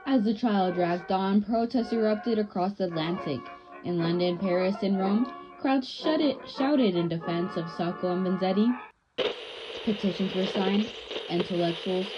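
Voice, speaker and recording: A young woman hosting a scripted 1920s-style radio news bulletin, with a formal, theatrical announcer delivery. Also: medium pitch. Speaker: radio show host and news reader. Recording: muffled lo-fi audio with music behind. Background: music.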